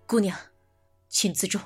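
A woman playing a reserved, courteous young male court official in a Chinese period radio drama, formal and easily flustered. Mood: indignant. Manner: formal, polite, restrained. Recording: loud music behind the voice.

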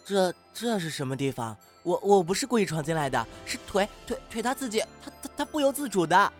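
A man's voice: high-pitched, big pitch swings, fairly quick, a bit bright. A man playing an awkward, skeptical young man in an audio drama, jumpy and easily flustered. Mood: panicked, flustered. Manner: stammering, jumpy, incredulous. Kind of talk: Chinese audio drama acting. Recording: faint music behind the voice.

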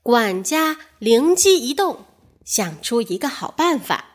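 A woman narrating a children's fairy tale in a measured, expressive storytelling manner. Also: a little high.

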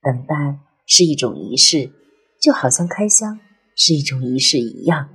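A woman a breathy voice and reads literary narration in a measured, expressive, dramatic way, as an audiobook narrator. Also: a bass voice. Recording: music under the voice. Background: background music.

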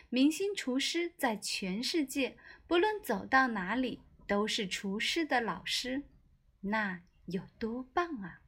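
A woman narrating a children's storybook in an expressive storytelling style. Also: on the high side.

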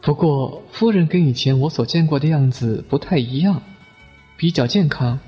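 A man's voice: fairly high pitch, normal speed, warm tone, natural voice. An actor playing a polite, attentive company man, the younger brother, in a radio drama. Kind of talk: Chinese radio drama acting. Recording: long reverb, faint music underneath.

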